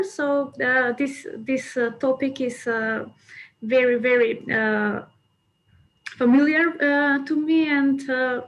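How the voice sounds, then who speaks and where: A woman speaking as a panelist on a video call, hesitant with many filler sounds. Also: on the high side. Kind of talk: online panel introduction.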